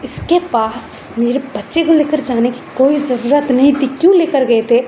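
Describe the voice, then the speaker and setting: Woman voicing an anguished, stubborn father, high-pitched. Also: normal speed, warm tone, slight background noise, natural voice. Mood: angry, accusing. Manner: accusing, repeats questions insistently. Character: anguished, stubborn, protective parent, a man, quick to anger.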